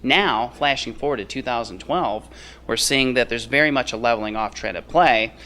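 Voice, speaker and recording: A man's voice: mid-range voice, normal speed, pushed and bright, slight background noise. A man speaking as an expert interview guest at a conference, explaining findings in his answers. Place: on location at a conference.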